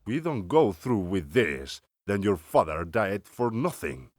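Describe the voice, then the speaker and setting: A man playing a stern, solemn older vampire-slayer elder, speaking gravely and formally in a cinematic audio roleplay. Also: lowish voice, sing-song, measured pace, dry recording, studio quality. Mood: grave, insistent.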